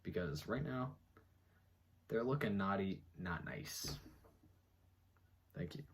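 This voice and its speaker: A man's voice: expressive, slow pace, slight background noise. A man giving a theatrical, mock-dramatic reading of an article, breaking off for muttered sarcastic asides. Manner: theatrical, swings between booming and muttered.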